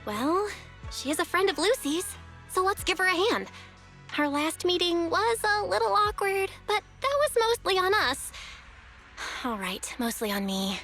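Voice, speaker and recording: A woman's voice: extremely high pitch, very animated, unhurried, sharp tone, soft background music, heavily acted. A woman playing a casual, frank and spirited young investigator sister in an anime-style game.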